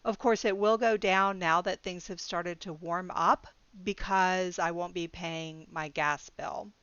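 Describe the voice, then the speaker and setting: A woman's voice: on the low side, steady tone, unhurried, a bit bright, smooth, no room sound. Middle-aged woman, casual vlog talk, rambling with ums, laughs easily, dry close sound, slightly muffled lo-fi audio. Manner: rambling, chatty, frequent ums and restarts. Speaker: budgeting vlogger.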